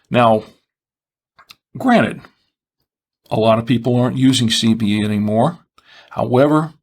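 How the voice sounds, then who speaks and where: A man presenting explanatory product commentary, speaking in measured, deliberate phrases with pauses. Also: mid-range voice. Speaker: presenter of a two-way radio show.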